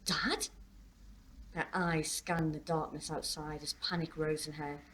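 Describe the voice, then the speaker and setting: A woman author reading her own novel aloud as expressive story narration. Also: low-pitched, moderate pace, slight background noise.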